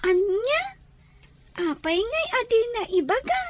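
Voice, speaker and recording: Plaintive, very high-voiced little child. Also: female voice, big pitch swings, a little slow, exaggerated voice. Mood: worried, longing. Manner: plaintive, innocent. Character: plaintive little child missing their mother. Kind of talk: radio drama acting.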